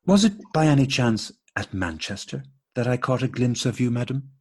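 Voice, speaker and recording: A man playing a courteous, puzzled gentleman with formal, mock-astonished delivery in an absurdist radio play. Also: medium pitch, lively intonation, normal speed, husky. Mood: polite puzzlement. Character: courteous, puzzled English gentleman meeting a lady. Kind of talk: absurdist stage dialogue read as radio play.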